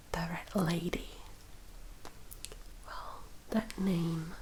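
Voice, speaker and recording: A gentle young vampire woman, acted out by a woman in an ASMR roleplay, whispering at a very slow pace. Her delivery is hushed and careful, with slightly formal wording, like a loyal attendant confiding in a newcomer. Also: lowish voice, poor audio.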